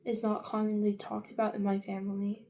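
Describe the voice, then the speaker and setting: Young woman with a creaky, dark voice. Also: mid-range voice, monotone, a little fast, a little hiss or hum. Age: young adult. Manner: rambling, many fillers and restarts. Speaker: vlogger. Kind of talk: casual get-ready-with-me chat.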